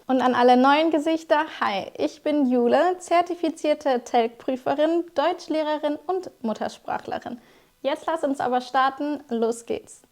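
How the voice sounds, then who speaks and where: A young woman with a high-pitched voice gives a scripted language lesson. She explains each word clearly and speaks directly to her viewers, as a teacher would. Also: measured pace, a little mellow. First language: German. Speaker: German teacher.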